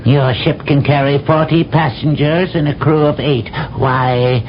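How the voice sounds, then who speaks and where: A man's voice: on the high side, steady tone, measured pace, slight background noise, natural voice. An actor playing a stern, hostile male alien colonel who speaks in curt, commanding sentences in a 1970s radio drama. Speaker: military officer. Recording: dull old radio broadcast.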